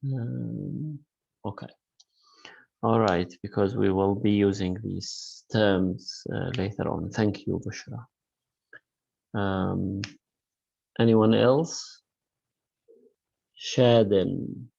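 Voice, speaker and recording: Slow gravelly male lecturer. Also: on the low side, steady tone, darkish tone, dull audio. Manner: hesitant, filler-heavy, encouraging. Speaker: university lecturer. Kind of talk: online class discussion.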